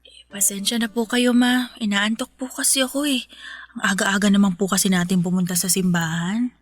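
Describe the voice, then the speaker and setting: A woman's voice: mid-range voice, steady tone, brisk, no room sound, close to an everyday voice. A woman voicing a sleepy, complaining fifteen-year-old Filipino daughter in a radio drama, whiny yet polite. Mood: sheepish whining. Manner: whiny, apologetic, polite to elders.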